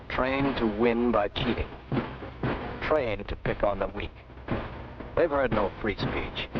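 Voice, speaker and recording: A man's voice: fairly low pitch, noisy background. An American man reading a scripted 1940s wartime documentary narration in a stern, emphatic way, with clipped dramatic phrasing. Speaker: propaganda film narrator. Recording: dull 1940s archive film soundtrack, music.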